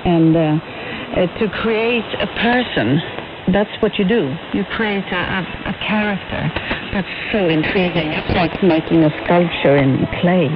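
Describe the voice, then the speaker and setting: A woman's voice: on the low side, a little slow. Noisy shortwave radio, woman reminiscing. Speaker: interview guest, museum curator. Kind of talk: conversational reminiscing. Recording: noisy, narrow-band shortwave radio broadcast.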